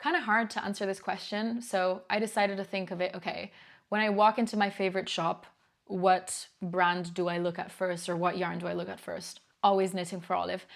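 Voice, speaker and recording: A woman's voice: fairly low pitch, gravelly. Casual: italian girl in her 20s chatting about knitting at home, easygoing, laughs a lot. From Italy. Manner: chatty, candid, laughs easily. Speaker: knitting YouTuber. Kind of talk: casual Q&A vlog.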